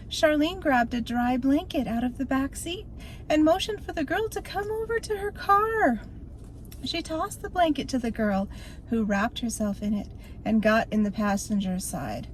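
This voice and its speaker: A woman's voice: sits high, slight background noise, rough recording. Older woman telling a spooky story. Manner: expressive, theatrical storytelling. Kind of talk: reading a spooky story aloud.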